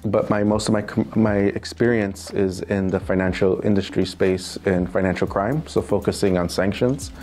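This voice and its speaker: A man's voice: low-pitched, fairly even intonation, normal speed, hardly any pauses, a little mellow. A man from New York City with a New York accent, answering interview questions in a casual, hesitant way full of fillers and restarts. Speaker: interview guest, financial crime specialist.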